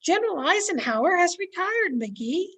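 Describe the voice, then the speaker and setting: A long-suffering, well-mannered wife acted by a woman in an old radio comedy. Her high voice moves up and down a lot as she politely corrects. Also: sing-song, normal speed, a bit bright, heavily acted. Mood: correcting. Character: patient but weary wife, politely correcting her husband. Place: at home on a video call.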